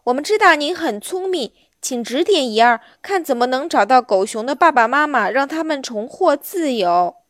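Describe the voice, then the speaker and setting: Lady doing a polite earnest little onion kid for a storybook, highish voice. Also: lively intonation, normal speed, few pauses, dry recording, clean studio sound. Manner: courteous, earnest. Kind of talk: children's storybook character voice.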